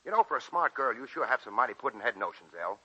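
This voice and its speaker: An actor playing a plainspoken, teasing, devoted small-town grocer in a 1941 radio drama, with a folksy manner. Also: male voice, medium pitch, lively intonation, rapid-fire, bright, forceful tone, husky, lo-fi sound. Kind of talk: 1940s radio drama acting. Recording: 1941 radio broadcast recording.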